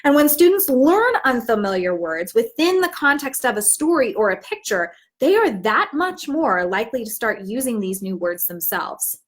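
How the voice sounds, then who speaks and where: A woman teaching in an instructive, expressive way, as if demonstrating how to read a storybook aloud. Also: fairly high pitch, moderate pace, hardly any pauses. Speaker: teacher trainer.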